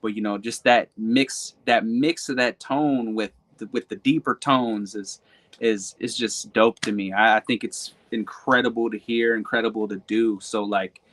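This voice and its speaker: A man's voice: lowish voice, steady tone, normal speed, a bit bright. A man who raps and sings, talking casually as a guest, rambling with lots of fillers and repeated words. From Michigan. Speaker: guest hip-hop artist. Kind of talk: casual interview chat.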